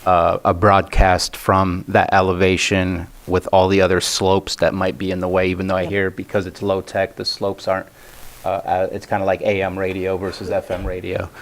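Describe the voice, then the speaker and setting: A man on a public board musing through a question in a halting way during meeting discussion. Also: low-pitched, completely flat delivery, vocal fry.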